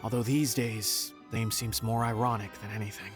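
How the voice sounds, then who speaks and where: A man's voice: lowish voice, flat delivery, normal speed, over music, lightly acted. A man voicing a forgetful, self-doubting frog in a video game, with a wry, mildly exasperated manner. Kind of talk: video game character dialogue.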